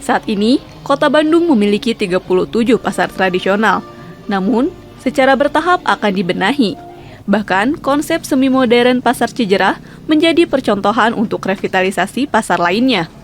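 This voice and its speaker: A woman's voice: a little high, lively intonation, moderate pace, faint music behind. A woman narrating a scripted television news report.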